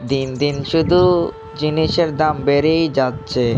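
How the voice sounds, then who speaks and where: A man's voice: fairly high pitch, fairly even intonation, normal speed, muffled recording, natural voice. A man playing a worried, complaining villager in a cartoon, speaking colloquially with a Bangladeshi accent. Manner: grumbling, colloquial rural speech. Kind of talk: amateur cartoon dialogue. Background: music.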